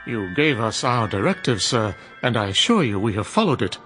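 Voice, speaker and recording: A man's voice: lowish voice, sing-song, normal speed, a bit bright, soft background music. A man voicing a formal, deferential robot butler in a radio drama. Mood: defiant. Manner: polite, precise, deferential. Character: formal robot butler, male, dutiful and stubborn.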